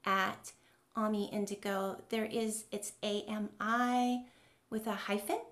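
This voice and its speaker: A woman's voice: medium pitch, fairly even intonation, slow, fairly bright tone. A woman singer-songwriter chatting casually as a guest, hesitant and modest. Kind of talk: casual chat.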